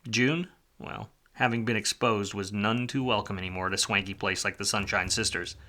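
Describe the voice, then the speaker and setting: A hardboiled private eye from a noir radio play, acted by a man, wry and down on his luck. His voice sits on the low side with a hard, forceful edge, and he talks in world-weary slang. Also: fairly even intonation, normal speed. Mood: wry, reflective. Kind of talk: film noir radio play acting and narration.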